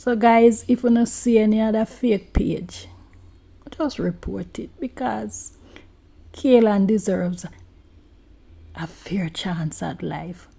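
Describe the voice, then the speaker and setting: A woman's voice: mid-range voice, slow, creaky, pauses often, dark tone. A woman with a Jamaican accent, speaking informally and directly to viewers as a presenter.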